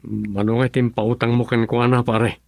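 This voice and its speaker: A man acts out an easygoing husband with no money, a laborer who brushes off worry. His voice has a gravelly fry and a middling pitch. It is recorded close and dry for a radio play. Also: little pitch movement, normal speed, no room sound, lightly acted. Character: broke construction-worker husband, easygoing. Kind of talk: radio drama acting.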